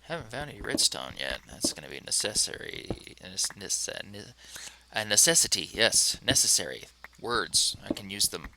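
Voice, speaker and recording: A man casually talking to himself as he plays a game, rambling in a relaxed way. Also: lowish voice, normal speed, creaky, hardly any pauses, background noise. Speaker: let's play host. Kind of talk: casual gameplay commentary.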